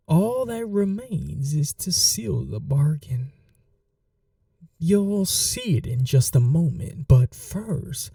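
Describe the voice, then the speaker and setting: Man acting very slow, dark-voiced possessive vampire. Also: on the high side, creaky, no room sound, far from the mic, full character voice. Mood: commanding. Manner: slow, hushed, dominant, formal endearments, often whispering. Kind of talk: intimate ASMR roleplay, scripted monologue.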